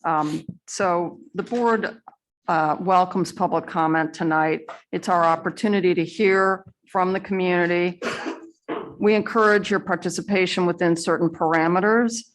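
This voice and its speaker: Lower-voiced woman chairing a board meeting. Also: fairly even intonation, poor audio. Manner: measured, procedural, with some fillers. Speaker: school board president. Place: school board meeting room.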